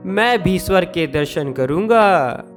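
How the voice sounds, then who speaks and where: A man's voice: high-pitched, lively intonation, unhurried, music in the background. A man playing a vain, boastful maharaja in a cartoon story, with a grand manner. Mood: boastful delight.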